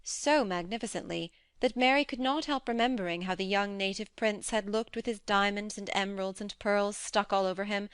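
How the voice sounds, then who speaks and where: A woman's voice: medium pitch, fairly bright tone, smooth, no room sound. A woman reading scripted audiobook narration as the narrator of a classic story.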